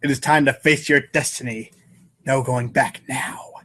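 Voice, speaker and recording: A man's voice: mid-range voice, fairly even intonation, measured pace, a bit bright, breathy edge. A man narrating an informal comic read-aloud show in a playful, theatrical manner. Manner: playful, theatrical, voices sound effects.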